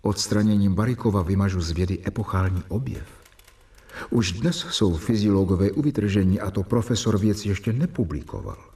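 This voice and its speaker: An actor playing a troubled doctor who assists a professor, narrating in a radio drama. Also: male voice, fairly low pitch, dark tone, distant mic, close to an everyday voice. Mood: agitated, brooding.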